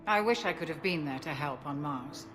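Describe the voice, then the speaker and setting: Composed game ship's doctor: measured, formal, courteous. Slightly low voice, soft score underneath. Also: female voice, lively intonation, faint music behind. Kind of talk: video game cutscene dialogue.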